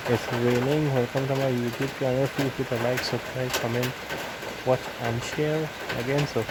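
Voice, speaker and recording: A man's voice: medium pitch, flat delivery, normal speed. A man from Southwest Trinidad with a Trinidadian accent, vlogging casually and rambling, repeating the same points as he talks into a propped-up phone. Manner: rambling and repetitive, circling back to the same points. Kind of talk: casual off-the-cuff vlog talk. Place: outdoors under shelter during heavy rain. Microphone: phone propped up nearby. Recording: loud rain noise behind the voice.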